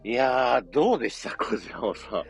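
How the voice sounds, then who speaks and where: A man's voice: medium pitch, big pitch swings, normal speed, vocal fry, breathy edge, faint music behind. A man co-hosting a live audio streaming show, chatting with his partner and making announcements.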